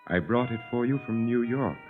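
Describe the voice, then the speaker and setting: An actor playing a haughty, cultivated aristocratic landowner, formal and courtly, in an old-time radio drama. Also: male voice, mid-range voice, moderate pace, natural voice. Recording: muffled vintage radio recording with music.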